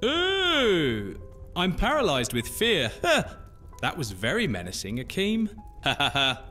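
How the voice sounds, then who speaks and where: A man plays a cheeky, nosy young man in an audio drama. His sharp voice swings widely and takes its time, exaggerated and teasing. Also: on the high side, huge pitch swings, slow pace, exaggerated voice. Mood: mocking. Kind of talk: immersive audio drama roleplay. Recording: music behind the voice.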